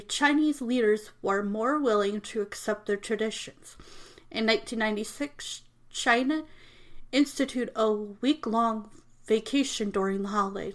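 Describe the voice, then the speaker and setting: A woman whose first language is not English, reading an informational text aloud with frequent stumbles and self-corrections. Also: a little high. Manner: apologetic about mispronouncing names. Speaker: reader presenting a text.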